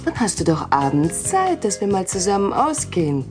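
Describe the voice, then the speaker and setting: A woman's voice: medium pitch, big pitch swings, normal speed, over music, natural voice. An actress playing a secretive, assured woman who coaxes others into a cult in a German audio drama. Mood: friendly, coaxing. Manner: coaxing, assured.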